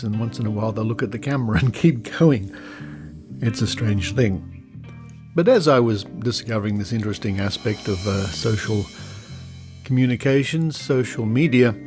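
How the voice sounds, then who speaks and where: A man with an Australian accent hosts a podcast in an informal, conversational style. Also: on the low side. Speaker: podcast host.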